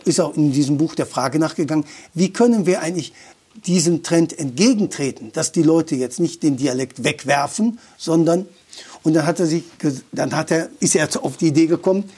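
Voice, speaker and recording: A man's voice: a little high, normal speed, a little mellow, husky. A man talking as a guest about dialect, thoughtful and opinionated, often restarting his sentences. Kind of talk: conversational explanation.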